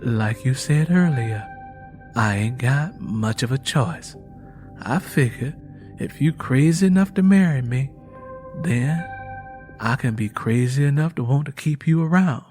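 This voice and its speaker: A man's voice: mid-range voice, a little slow, darkish tone, faint music behind, natural voice. Man acting out an earnest young country woman. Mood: guarded. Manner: cautious, pleading. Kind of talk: dramatised audiobook dialogue.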